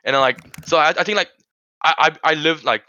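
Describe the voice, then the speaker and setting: Teen guy, bright edgy tone, dry close sound, dull lo-fi audio, rambling casual talk. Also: a little high, fast talker, no room sound. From Korean family, grew up in Sri Lanka. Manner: rambling, many restarts and "like" fillers. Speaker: podcast host. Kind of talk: casual chat. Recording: dull lo-fi recording.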